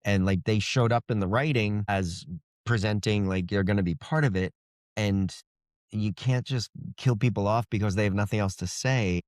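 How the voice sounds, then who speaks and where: A man's voice: on the low side, fairly even intonation, moderate pace, no echo, studio quality. A man being interviewed as an author, explaining things in an informal, loosely phrased, conversational way.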